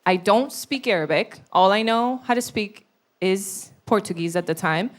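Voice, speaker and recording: A woman's voice: medium pitch, normal speed. A young adult woman from Brazil, telling her personal story as a guest in an interview.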